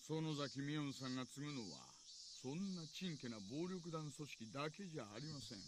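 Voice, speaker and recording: A man's voice: a little high, rapid-fire, background noise, close to an everyday voice. A man playing a sly middle-aged police detective in a Japanese visual novel, needling and falsely polite with an insinuating drawl. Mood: slyly probing. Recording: game music behind the voice.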